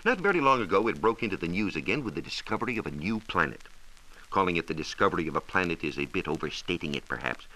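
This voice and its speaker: An American man explaining science in a measured, precise, didactic way, in a scripted 1940s radio style. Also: deep voice, sing-song, sharp tone, rough recording. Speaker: science reporter explaining astronomy. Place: radio studio. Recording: hissy 1940s radio transcription disc.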